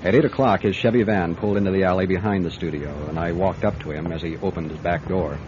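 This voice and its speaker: An actor playing a calm, probing private detective in a radio drama, speaking in a measured, wry way. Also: male voice, low voice, lightly acted. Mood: composed. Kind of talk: radio drama acting with first-person narration. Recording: dull band-limited radio drama with music.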